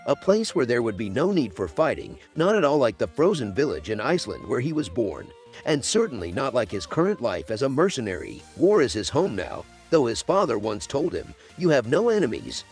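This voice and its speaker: Guy doing scripted list video narration, pitch moves around a lot, faint music under it. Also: huge pitch swings, fairly quick, a little mellow.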